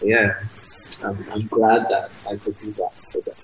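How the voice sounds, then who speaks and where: A young man with a Nigerian accent, his first language Igbo, chatting softly and casually as a guest in a radio interview. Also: on the low side, unhurried. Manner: soft-spoken, relaxed, lots of fillers. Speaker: guest musician. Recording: roomy sound with faint ambience.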